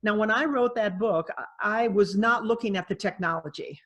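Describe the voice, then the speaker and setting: A woman author speaking as a guest on a video call, chatty and animated, laughing often. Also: medium pitch, moderate pace. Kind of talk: video call interview, conversational.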